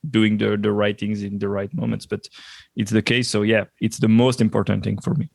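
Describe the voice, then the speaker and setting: A man's voice: on the low side, flat delivery, fairly quick, vocal fry, a little mellow, no room sound. A man from Belgium, speaking in a casual interview as a podcast guest. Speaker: podcast guest, sports journalist and teacher.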